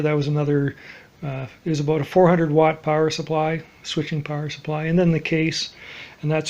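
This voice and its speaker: Man, slightly high voice, casual hobbyist demo, halting delivery, matter-of-fact. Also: highs cut off. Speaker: DIY hobbyist presenter. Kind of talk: casual unscripted project demonstration.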